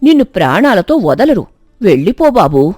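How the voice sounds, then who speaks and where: Woman putting on a low-voiced, warning old village woman. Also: sing-song, dark tone, breathy edge, dry recording, clean studio sound, faint music behind. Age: older. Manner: low, slow, cautioning.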